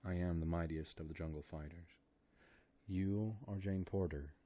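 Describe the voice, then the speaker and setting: A man's voice: low voice, steady tone, normal speed, mellow. A man reading out the simple, blunt declarations of an earnest young man raised in the jungle.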